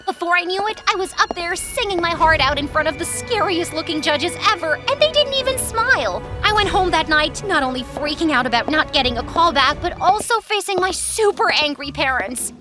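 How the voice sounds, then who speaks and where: A woman's voice: very high voice, animated, fairly quick, few pauses. A young woman narrating her own cartoon story in a chatty, playful, sarcastic way. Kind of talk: scripted first-person cartoon narration. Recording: narration over music.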